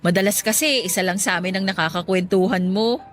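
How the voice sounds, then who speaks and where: A woman playing an affectionate, teasing younger married woman in a Filipino radio drama, speaking in a gently reassuring way. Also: medium pitch, a little fast, slight background noise, lightly acted. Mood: fond.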